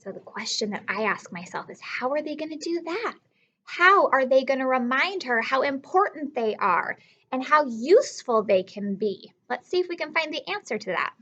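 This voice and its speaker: A woman teacher reading a story aloud to children, expressively, pausing to instruct and question her young listeners directly. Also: sits high, very animated, a bit bright, highs cut off. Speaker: elementary school teacher.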